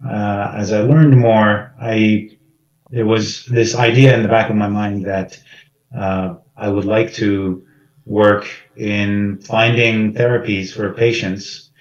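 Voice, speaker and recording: A man from Iraq on a community panel, telling his own story in a soft-spoken, hesitant way. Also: lowish voice, little pitch movement, slow pace. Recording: roomy, distant and dull.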